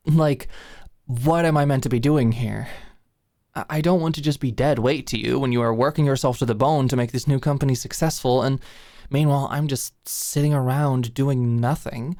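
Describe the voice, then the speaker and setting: A woman's voice: extremely low pitch, steady tone, normal speed, mellow, no echo. A close, dry recording of a woman acting as a doting, dominant girlfriend in an ASMR roleplay. She fusses and coaxes with eager affection, speaking intimately like an ex-manager who now runs the home. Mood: sheepish, confessing. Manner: affectionate, fussing, coaxing.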